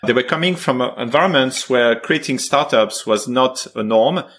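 A man hosting a podcast interview, speaking conversationally with frequent pauses. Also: mid-range voice, fairly bright tone. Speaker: podcast host.

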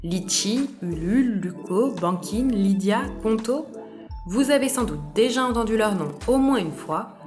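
A woman hosting a podcast, reading a clear, upbeat intro and then asking the guest questions. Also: medium pitch, expressive, unhurried. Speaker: podcast host. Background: music.

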